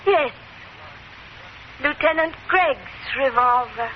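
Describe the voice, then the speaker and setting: A woman playing a deranged, murderous wife, sweet on the surface and childlike, in slow, theatrical 1950s radio horror style on a muffled old recording. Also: sits high, animated, slight background noise. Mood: cold, menacing. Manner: theatrical, slow, plaintive delivery.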